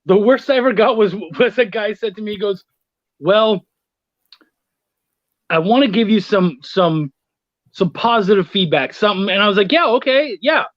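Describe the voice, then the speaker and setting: A man's voice: sits high, lively intonation, normal speed, pauses often, fairly bright tone. A man chats informally as a guest on a talk show. His sound is very dry, with little room echo. The audio is a little dull and lo-fi, like a call. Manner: conversational, informal. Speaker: guest, comics writer, editor and reviewer. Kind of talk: casual chat interview.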